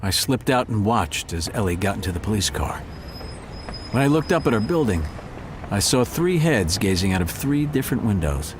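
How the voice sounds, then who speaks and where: Low-voiced, wry cat detective. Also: male voice, sing-song, normal speed, noisy background. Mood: wry, observant. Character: watchful male cat narrating a murder mystery. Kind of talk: scripted first-person narration, audio drama.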